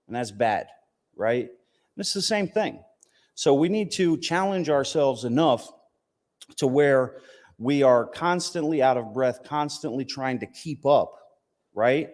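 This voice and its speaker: An American man giving an informal religious talk in a conversational way, often checking in with his listeners. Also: mid-range voice. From United States, Southern family from West Virginia, partly raised in Palestine. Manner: conversational, punctuated with "you know" and "right?" checks. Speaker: Islamic teacher giving a talk. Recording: reverberant hall.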